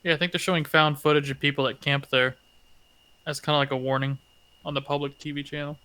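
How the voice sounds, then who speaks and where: A man's voice: fairly high pitch, little pitch movement, quick pace, bright, forceful tone, smooth. A man giving casual, joking commentary while watching videos.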